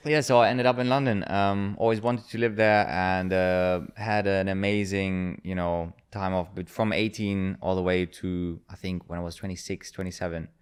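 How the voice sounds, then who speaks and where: A man with a very low, smooth voice chats as a podcast guest. He tells his stories at an easy pace, filling the gaps with fillers and the odd laugh. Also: normal speed, few pauses. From Germany. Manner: relaxed storyteller, many fillers, laughs easily. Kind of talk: casual interview chat.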